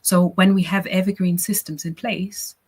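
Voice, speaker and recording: A chatty, encouraging woman from Austria coaches a live workshop, and her voice sits a little low. She keeps checking in with her listeners as she explains her ideas. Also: steady tone, moderate pace, darkish tone, slightly rough. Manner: chatty and encouraging, frequent "right?" and "you know". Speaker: business coach running a workshop. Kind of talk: casual live workshop teaching.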